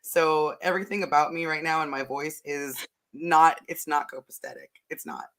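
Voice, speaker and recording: A woman co-hosting a casual podcast chat, candid and animated, her voice a bit hoarse from having partly lost it. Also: low voice, normal speed. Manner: animated and candid, uses "like" a lot. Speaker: podcast co-host.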